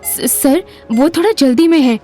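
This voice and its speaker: A woman's voice: high-pitched, unhurried, dark tone, faint music behind. A woman acting a frightened, stammering young nurse in an audio drama, pleading and hesitant. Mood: nervous, urgent. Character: frightened, stammering young nurse, secretly a villain's clingy accomplice.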